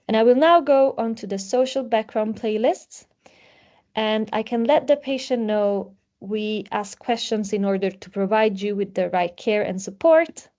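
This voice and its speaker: A woman's voice: a little high, unhurried, a little mellow. Woman presenting a product demo. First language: Italian. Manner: explanatory, with frequent um fillers. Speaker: webinar presenter.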